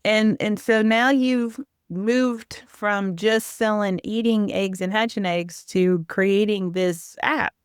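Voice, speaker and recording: A woman's voice: medium pitch, fairly even intonation, takes it slowly, clean tone, no echo, clean studio sound. Casual, joking woman podcast host. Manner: informal, joking, self-deprecating. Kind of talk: casual interview chat.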